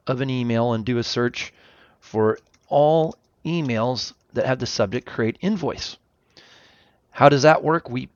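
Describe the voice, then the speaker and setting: A man narrating an informal software demo as presenter, explaining things step by step. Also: mid-range voice, no echo, muffled recording. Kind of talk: informal product walkthrough narration.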